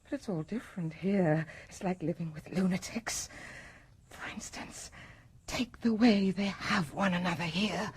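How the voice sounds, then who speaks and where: A woman's voice: a little slow, slightly rough. An actress playing a faded, garrulous woman exiled from a sterile future city, rambling tearfully in a radio drama. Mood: plaintive, fussy. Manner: gushing, rambling, swings from whisper to shrill cry. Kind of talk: BBC radio drama acting.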